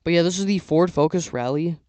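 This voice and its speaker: Young male vlogger, rambly casual commentary, clear voice with a slight hoarseness. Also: on the high side, fairly quick, darkish tone, no room sound. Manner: rambling, filler-heavy, trailing off. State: losing his voice. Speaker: YouTuber ranking toy sets. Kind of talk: casual tier-list commentary.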